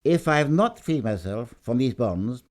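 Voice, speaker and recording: A man's voice: medium pitch, animated, moderate pace, darkish tone, natural voice. A man voicing a self-important, jealous husband who works as a stage escapologist in a story, pompous and exclamatory. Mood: pompous, strained.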